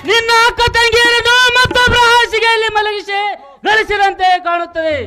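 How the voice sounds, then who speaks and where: Casting: poor, protective young brother, furious and defiant, very high declaiming stage voice over loud music. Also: male voice, level tone, normal speed, a loud crowd. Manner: high-pitched shouting, oratorical delivery. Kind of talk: loud, declamatory folk theatre acting. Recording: live stage play recording with loud music.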